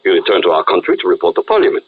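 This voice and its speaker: An actor playing a formal, bewildered foreign count and ambassador in a 1940s radio drama. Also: male voice, sits low, huge pitch swings, very fast, slightly rough, exaggerated voice. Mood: shocked, distressed. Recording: muffled vintage radio recording.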